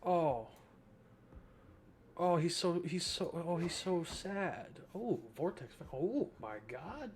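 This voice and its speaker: A man's voice: on the high side, lively intonation, slow pace, a little hiss or hum. A young man giving casual, slangy, joking gameplay commentary as a streamer. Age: young adult. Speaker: game streamer.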